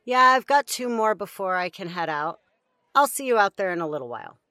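Female medic for audio drama actress: caring, mildly bossy, expressive pitch, bright tone. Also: a little fast, no echo, clean studio sound, a faint crowd. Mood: warm, teasing. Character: caring, gently scolding female field medic.

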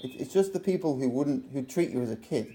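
Mellow-voiced English man telling stories. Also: mid-range voice, fast talker, poor audio. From England. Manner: relaxed storytelling with frequent fillers. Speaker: guest. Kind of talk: casual interview.

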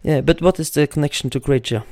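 A man's voice: fairly high pitch, fast, darkish tone, no echo, clean studio sound. A man hosting an interview, asking questions hesitantly with many uhs and slightly awkward phrasing. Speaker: interviewer, host.

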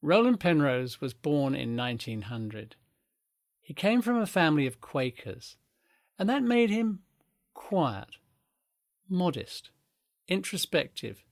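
An older man with an English accent gives measured, articulate narration, telling history as in a scripted documentary. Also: a little high, animated, no echo, studio quality. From England. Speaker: guest speaker recounting family history. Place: recorded in a family home.

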